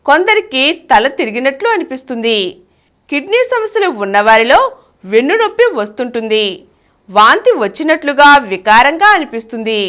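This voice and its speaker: A woman's voice: sits high, a bit bright. A woman reading scripted news as a bulletin presenter. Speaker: news reader.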